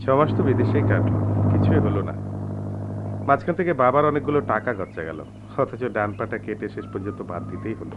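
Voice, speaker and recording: A man's voice: lowish voice, animated, fairly quick, lightly acted. An actor as a bitter, wistful young man, muffled noisy recording. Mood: resigned, wistful. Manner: wistful, poetic, theatrical delivery. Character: bitter, melancholy young man who lost a leg. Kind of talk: Bengali radio drama acting. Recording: dull, noisy old radio drama recording.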